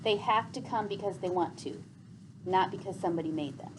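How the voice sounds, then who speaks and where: A woman teacher reading a story aloud in a classroom, explaining and asking questions in clear phrases. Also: mid-range voice, a little fast. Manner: clear explanatory phrasing, repeats pupils' answers. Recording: faint classroom background noise.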